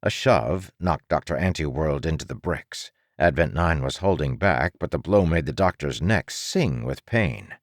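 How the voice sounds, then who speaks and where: A man narrating dramatic fiction for an audiobook in a deliberate storytelling manner. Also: very deep voice, animated, no echo, studio quality.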